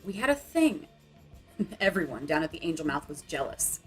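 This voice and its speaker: A woman's voice: deep voice, big pitch swings, normal speed, faint music behind. A woman playing a dramatic, wounded young bohemian punk singer in a motion comic. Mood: wistful, confessional.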